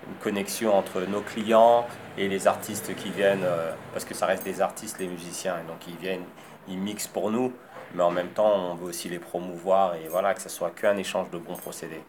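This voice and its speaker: A man born in Congo and raised in France, a designer answering interview questions in a halting way with many fillers. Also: deep voice, fairly even intonation, noisy background.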